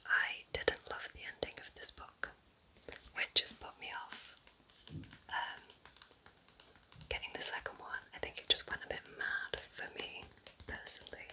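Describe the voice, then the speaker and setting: A woman speaks entirely in a breathy whisper. She presents in a casual ASMR style, letting short, soft phrases fall gently, as if chatting to one listener. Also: a little slow, long pauses, poor audio. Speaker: ASMR creator presenting book recommendations.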